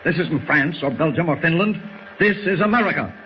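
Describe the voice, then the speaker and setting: An actor playing a blunt, defiant older newspaper editor, indignant in a 1940s radio drama. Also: male voice, a little high, expressive, brisk, sharp tone, rough recording. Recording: slightly hissy old 1940s radio recording.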